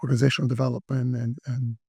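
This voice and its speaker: A middle-aged man from Brazil, speaking as an interview guest, talking in a halting way with many restarts and fillers. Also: mid-range voice, flat delivery, gravelly, dark tone, slightly rough, no room sound, clean studio sound. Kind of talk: interview conversation.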